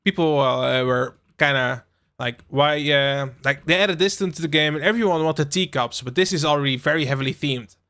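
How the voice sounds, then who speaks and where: A man's voice: a little high, moderate pace, bright, forceful tone. Dutch young man streaming casually. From Netherlands. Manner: rambling, full of fillers and asides to chat. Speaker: livestream host. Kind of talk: casual live-stream chat while playing a game.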